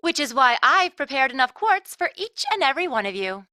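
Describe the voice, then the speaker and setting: A breezy female academy instructor from a video game. Her voice sits high and bright, with casual, teasing explanations that swing freely in pitch. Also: sing-song, brisk, no room sound, studio quality, exaggerated voice. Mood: breezy, upbeat. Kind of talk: English video game voice acting.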